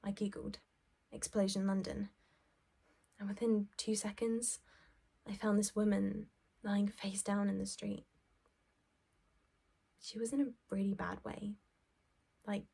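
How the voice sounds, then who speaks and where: A woman performing a stage monologue as a self-absorbed, well-off young office worker, shaken, halting and sweary as she recounts events. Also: mid-range voice, level tone, a little mellow. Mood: numb, shaken. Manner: halting, sweary, breathy drops into near-whisper. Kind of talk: dramatic stage monologue, conversational first-person recounting.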